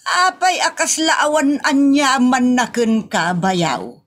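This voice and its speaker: A woman's voice: high-pitched, animated, slow pace, fairly bright tone, full character voice. A woman playing a strict, nagging older auntie with an Ilocos accent from the northern Philippines in a comedy audio drama. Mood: indignant.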